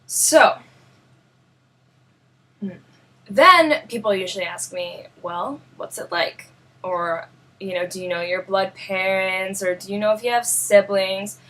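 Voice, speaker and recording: A young woman with a New York accent talks straight to her viewers in a personal vlog. Her voice sits at a middle pitch, and her delivery is earnest and unpolished. She often pauses and restarts while searching for the right words. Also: bright, forceful tone, low-quality recording. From Russia. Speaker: vlogger.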